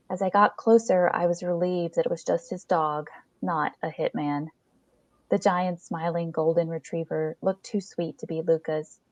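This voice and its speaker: A woman's voice: sits low, a little mellow, no echo. A woman reading a first-person short story aloud as scripted narration, in a dull lo-fi recording.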